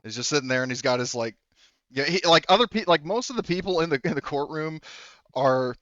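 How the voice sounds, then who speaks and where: A man's voice: a little high, animated, a little fast, a bit bright, no room sound, lo-fi sound. A man working as a game master, narrating a tabletop game casually in an informal, profane, rambling way.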